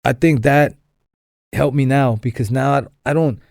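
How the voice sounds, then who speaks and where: A man's voice: mid-range voice, normal speed, darkish tone, no room sound, clean studio sound. A young man from Los Angeles, a podcast guest telling his story in a rambling way, full of fillers and restarts. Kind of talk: casual storytelling interview.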